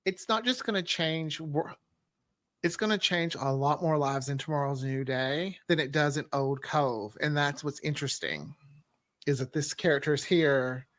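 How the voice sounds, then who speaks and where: A man's voice: on the high side, moderate pace, fairly bright tone, no room sound, studio quality. A man chatting casually and enthusiastically as a writer on a panel. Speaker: audio drama writer. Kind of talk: casual group chat.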